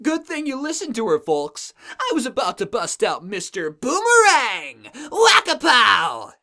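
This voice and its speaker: A woman playing a goofy, wisecracking teenage boy warrior in an animated comic dub. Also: a little high, measured pace, full character voice. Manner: jokey, swaggering.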